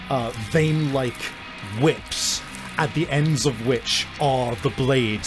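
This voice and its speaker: Man game master over music. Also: mid-range voice. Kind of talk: improvised narration of a horror tabletop game. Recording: music bed behind the voice.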